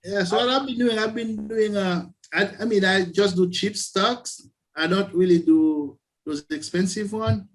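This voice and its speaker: A man with a Haitian accent speaks in a fairly high voice on a video call. He is friendly and quick to laugh, pausing on fillers as he finds his words. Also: low-quality recording. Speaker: class attendee, day trader.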